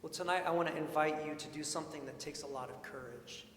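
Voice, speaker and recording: Guy preacher w a kinda high voice, chatty expressive sermon, big church reverb. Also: fast. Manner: informal, expressive, repeats questions for emphasis. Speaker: preacher, priest. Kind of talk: sermon, conversational storytelling and rhetorical questions. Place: large indoor church sanctuary. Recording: echoey church hall, long reverb, faint noise.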